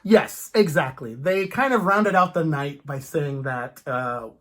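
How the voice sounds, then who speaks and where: A man chatting casually about films as a host, in an animated, rambling fan's way. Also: on the high side, measured pace.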